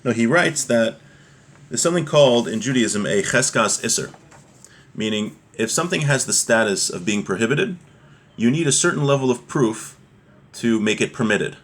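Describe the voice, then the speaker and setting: A man's voice: mid-range voice, animated, normal speed, a bit bright. A man teaching an informal class on religious law, explaining a text step by step with everyday examples.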